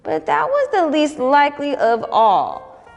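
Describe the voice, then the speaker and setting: A young woman in her twenties, a volunteer reading a children's picture book aloud in an expressive, animated way, in a roomy space with faint music. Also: sits high, unhurried. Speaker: volunteer storybook reader.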